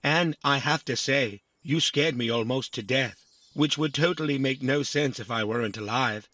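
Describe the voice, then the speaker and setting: An actor playing a naive, chatty, easily offended little robot in a children's audio drama, rambling excitably. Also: male voice, medium pitch, moderate pace, natural voice. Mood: rambling, excited. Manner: rambling, excitable, adds tag questions.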